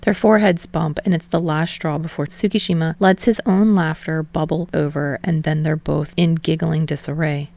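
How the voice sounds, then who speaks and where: Low-voiced woman narrating, creaky edge. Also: fairly even intonation, normal speed, few pauses, darkish tone, dry recording. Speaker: podfic narrator. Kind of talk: scripted fiction narration.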